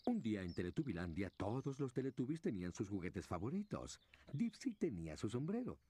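A man's voice: on the low side, very animated, a little fast, mellow, breathy edge. A man narrating a scripted story for small children, in storybook style. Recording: children's show music behind the voice.

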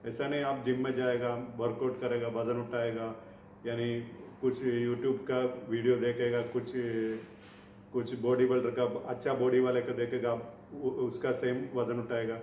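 A man's voice: medium pitch, level tone, measured pace, dull audio. A middle-aged man gives an informal instructional talk to camera, explaining in simple, repetitive phrases. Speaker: fitness vlogger. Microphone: camera a few feet away. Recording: echoey room, distant mic, faint noise.

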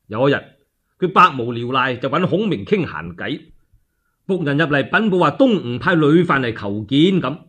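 A male Cantonese storyteller narrates in a colloquial, theatrical manner. His pitch swings freely and his voice is a little rough. It feels like a one-man radio drama. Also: big pitch swings, normal speed, fairly bright tone.

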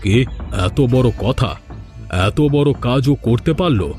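A man's voice: medium pitch, animated, measured pace, darkish tone, faint music behind, natural voice. A man voicing a stern, gullible fish-farm boss in a cartoon, with a commanding manner. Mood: angry, accusing. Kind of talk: cartoon dialogue.

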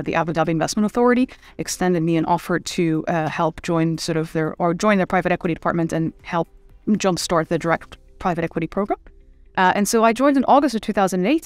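A woman's voice: fairly low pitch, dry recording. Woman, thirties, finance guest, thoughtful, hesitant fillers, dry close mic, faint music. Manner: thoughtful, many fillers and restarts. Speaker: private equity managing director, guest. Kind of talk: conversational interview. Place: studio set with city backdrop. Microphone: desk microphone.

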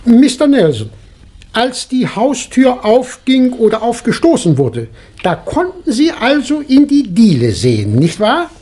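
An actor playing an inquisitive doctor and amateur detective, asking probing questions in a 1960s German radio crime drama. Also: male voice, extremely high pitch, huge pitch swings, unhurried, dark tone, exaggerated voice. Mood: probing, assured.

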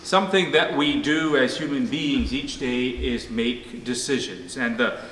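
A middle-aged man preaching as pastor, telling stories in a relaxed, conversational way. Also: fairly high pitch. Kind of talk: sermon. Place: church sanctuary, at a pulpit. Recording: echoey church hall, faint hum.

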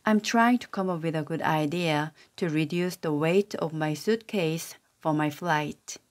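A woman's voice: sits low, measured pace. A woman's AI-generated voice reading sentence drills for a language lesson, evenly and carefully. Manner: even, careful read-aloud of repeated sentences. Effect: AI text-to-speech voice.

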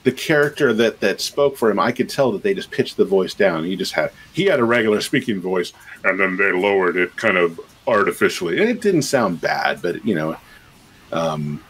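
A man's voice: low voice, expressive, moderate pace, a bit bright, husky, poor audio. A middle-aged man, a game composer and sound designer, talking casually on a video call with many fillers and restarts. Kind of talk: casual podcast interview.

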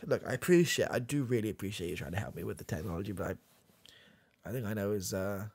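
A man's voice: lowish voice, lively intonation, fast talker, darkish tone, husky, no room sound. A young man with a British accent playing a muddled, proud old grandad who can't handle technology, rambling and hesitant in a close-miked comedy ASMR roleplay. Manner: rambling, hesitant, full of fillers and restarts. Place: small dim room with coloured lights. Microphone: close mic, face near camera.

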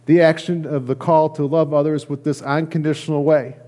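A middle-aged man, a pastor, preaching a conversational sermon in an earnest, halting way with repeated phrases. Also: on the high side, little pitch movement, mellow. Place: church sanctuary, at a lectern indoors.

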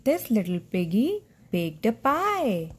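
A woman's voice: mid-range voice, big pitch swings, slow, breathy edge. A woman with an Indian accent reading a picture book to a small child and asking simple, instructive questions. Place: at home, indoors on a bed.

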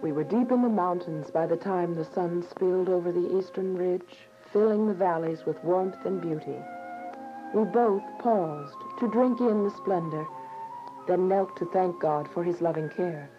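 A woman's voice: lowish voice, moderate pace, lightly acted. An actress playing a devout young woman on the run, narrating her story earnestly in a radio drama. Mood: serene, reverent. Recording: dull lo-fi radio drama, music behind.

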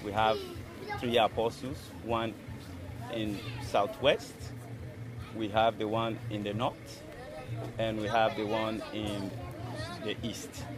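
Male church official, 40s, slow and halting, outdoor interview amid crowd chatter. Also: lowish voice, level tone, noisy background. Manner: halting, phrase by phrase. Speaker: church administrative clerk being interviewed. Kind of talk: interview answer. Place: outdoor yard with people around.

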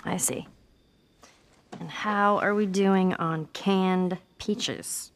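An actress playing a wry, tough teenage girl survivor in a video game cutscene, teasing with quick comebacks. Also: lowish voice.

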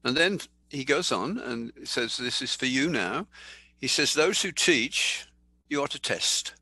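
An older man giving a sermon in a thoughtful, conversational way, slowing down to read scripture aloud. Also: medium pitch, lively intonation, sharp tone, breathy edge, no room sound, low-quality recording. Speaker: preacher. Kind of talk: sermon teaching.

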